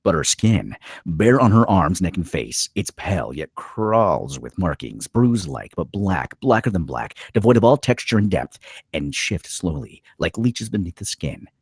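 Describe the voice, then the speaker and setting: A male narrator reads scripted stage directions dramatically. His voice is deep and rough-edged, with lively rises and falls. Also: a little slow, no echo, studio quality.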